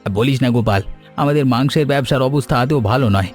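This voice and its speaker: Man playing a quick-talking worried meat seller. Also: mid-range voice, soft background music, natural voice. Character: worried village meat seller hit by flood. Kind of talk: animated moral story dubbing.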